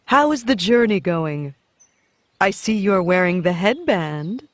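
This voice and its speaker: A woman voicing a serene goddess of mercy in a children's animated story, composed and gently chiding. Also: medium pitch, a little slow, dry recording. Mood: gracious. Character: serene female goddess of mercy, patient but reproving.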